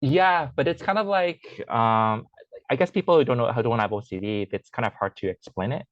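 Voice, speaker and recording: A man's voice: mid-range voice, animated, fairly quick, no room sound, lo-fi sound. A young man, a guest, talking in a casual personal conversation, hesitant, with many fillers and restarts. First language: English; Chinese is his second language. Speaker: interview guest.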